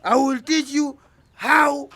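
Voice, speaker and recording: High, excitable, domineering village husband. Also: male voice, takes it slowly, exaggerated voice. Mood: furious, threatening. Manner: blustering, stammering when worked up. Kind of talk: radio drama acting.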